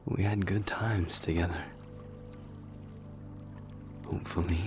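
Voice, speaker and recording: Male actor as solemn fantasy king: low, unhurried, heartfelt, loyal but insecure. Audio drama. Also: steady tone, a little mellow, soft background music. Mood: wistful, reflective. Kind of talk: dramatic audio roleplay acting.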